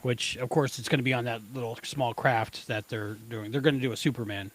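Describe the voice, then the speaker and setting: A man co-hosting a casual fan podcast, speaking informally in halting, hesitant phrases. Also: medium pitch, fairly even intonation. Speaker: podcast co-host.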